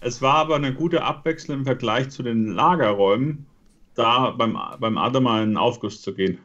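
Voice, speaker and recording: A man's voice: medium pitch, a little fast, fairly bright tone. A man in a casual live stream chat who explains things in a plain, matter-of-fact way over a headset. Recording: dull lo-fi call audio.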